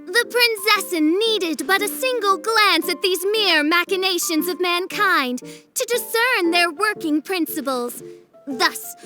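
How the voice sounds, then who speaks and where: A self-styled teenage princess from an anime game. The voice is very high and piercingly bright. The delivery is slow, pompous and theatrical, full of archaic flourishes. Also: female voice, full character voice. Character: grandiose teenage girl posing as fantasy princess. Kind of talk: anime video game dub.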